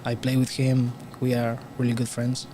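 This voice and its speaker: A young man from Spain with a Spanish accent, a guest player commenting on pool in halting, restarting English from a tournament booth. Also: medium pitch, monotone, noisy background. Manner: halting non-native English with frequent restarts.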